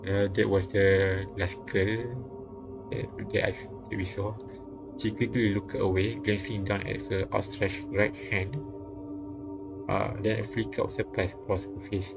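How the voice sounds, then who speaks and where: A man streaming a visual novel, reading it aloud haltingly with casual comments. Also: lowish voice, level tone, creaky. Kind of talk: live read-aloud narration with casual comments. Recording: game music behind. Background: game music.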